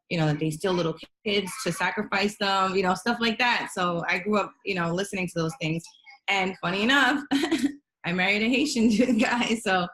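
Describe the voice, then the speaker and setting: A woman's voice: on the low side, a bit bright, a little hiss or hum, rough recording. A woman of Dominican and Puerto Rican family speaking conversationally on a panel, with frequent fillers and restarts. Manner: conversational, hesitant, many fillers. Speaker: panel member. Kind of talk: casual video-call discussion.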